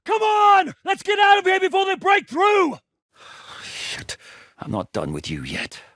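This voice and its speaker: An actor playing a gruff, battle-hardened rebel commander in a video game, barking orders, swearing and mocking his foes. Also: very high voice, huge pitch swings, a little slow, a bit bright, dry recording, clean studio sound, full character voice. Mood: commanding.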